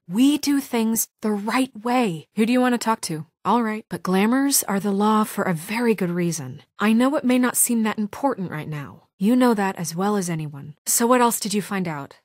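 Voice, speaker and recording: A woman's voice: medium pitch, no echo, natural voice. A woman playing a stern, dry-sounding young leader. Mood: stern, urgent, then tense, businesslike. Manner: earnest, measured, formal and insistent. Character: stern, principled young woman leader, weary but composed. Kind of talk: dramatic video game voice acting.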